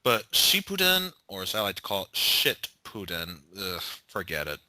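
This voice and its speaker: A man with a low, very bright and tense voice chats casually in a group. He is laconic and dry, yet his pitch moves in lively swings. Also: normal speed, no room sound, poor audio.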